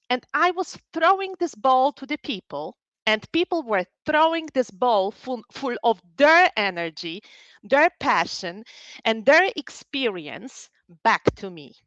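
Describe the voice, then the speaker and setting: A woman's voice: a little high, fairly bright tone, no room sound, poor audio. A woman in her forties from Poland, giving a personal storytelling talk as a learning and development trainer in an online workshop.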